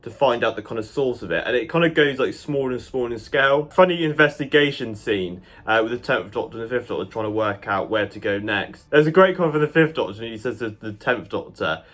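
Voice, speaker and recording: A man's voice: medium pitch, expressive, fast, hardly any pauses, fairly bright tone. A man giving a casual, enthusiastic review to his viewers as a channel host, chatty and loosely phrased with lots of "kind of" and "like".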